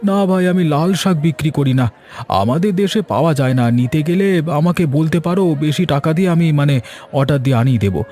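A man voicing a brisk, defensive young village vegetable seller haggling in a cartoon story. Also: fairly high pitch, lively intonation, dark tone, faint music behind, natural voice. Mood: matter-of-fact. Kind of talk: animated cartoon story dialogue.

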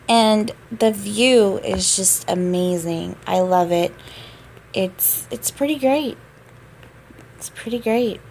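A woman's voice: medium pitch, darkish tone, a little hiss or hum. A woman who just woke up, giving a casual voice-over tour of her game apartment, chatty and drawn out with small laughs.

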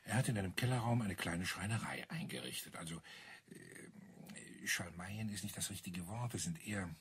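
An actor playing a talkative landlord amused by his eccentric neighbours, husky and explanatory, in a German crime radio drama. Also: sits low, expressive, normal speed, a bit bright, full character voice. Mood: musing, enthusiastic. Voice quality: husky, breathy. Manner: chatty, explanatory, savouring details.

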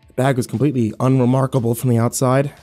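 A man's voice: mid-range voice, level tone, quick pace, mellow, faint music behind, lightly acted. An actor playing a weary, irritable hospital patient who tells his story in a sullen, sardonic first-person horror narration. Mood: wary, dry.

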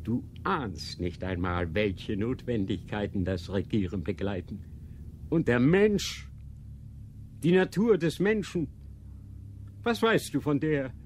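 Stern dogmatic inquisitor, slow didactic delivery, radio play, clear background ambience noise. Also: male voice, medium pitch, lively intonation, a little mellow. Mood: stern, lecturing. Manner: measured, didactic, rhetorical questioning. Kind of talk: literary radio drama acting.

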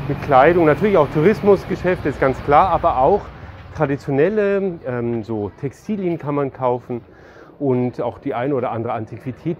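A man's voice: mid-range voice, a little mellow, highs cut off, a little hiss or hum. A man in his forties presenting a travel vlog, talking and narrating as he shows places. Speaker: travel vlogger.

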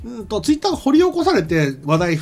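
Male co-host, middle-aged, casual explainer who corrects himself as he goes, fast and very lively in pitch. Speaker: commentator and co-host, anime and game writer. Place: small studio set, indoors. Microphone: desk microphone. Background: background music.

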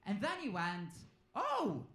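A man's voice: high-pitched, wildly swooping pitch, slow pace, pushed and bright. A man in his twenties with a Norwegian accent performing stand-up in a conversational way, playfully acting out exchanges. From Norway. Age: 20s. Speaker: stand-up comedian. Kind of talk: live stand-up comedy routine. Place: on stage in a comedy club. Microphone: handheld stage microphone. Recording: echoey live club sound with noise.

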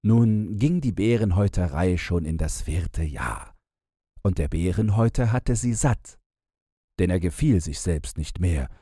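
A man narrating a bedtime fairy-tale audiobook in a measured, wry storytelling manner. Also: low voice, expressive, dark tone, breathy edge, no room sound, studio quality.

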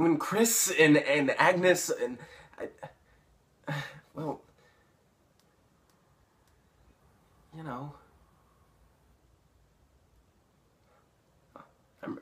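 A man plays an awkward teenage outcast in a dramatic stage monologue. He stumbles and repeats his words in a mid-pitched voice, nervous and self-conscious. A bitter, unstable resentment sits under the halting delivery. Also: a bit bright, lightly acted. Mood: hurt, wavering. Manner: stammering, halting, nervous laughter. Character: awkward, bullied teenage boy, nervous, resentful, darkly unhinged.

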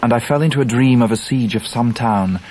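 An actor playing an earnest grown gentleman who narrates his own story in a radio play, with an English accent and measured, vivid delivery. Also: mid-range voice, close to an everyday voice. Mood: vivid, urgent. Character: grown David Copperfield, earnest grieving male narrator. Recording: noisy surf and wind behind voice.

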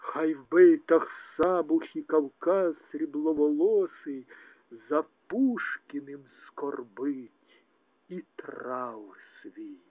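A man recites poetry with solemn, declamatory drama, in a fairly high voice and at a very slow pace. His phrases often sink into a hush at the end. The recording is thin and tinny, like a phone line. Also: no echo. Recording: tinny phone-like narrow band, dry.

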